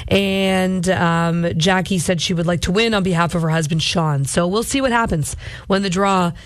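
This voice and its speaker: A woman's voice: fairly low pitch, moderate pace, no echo. Woman radio host losing her voice. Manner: rambling, chatty. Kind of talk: morning radio chat. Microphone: studio microphone.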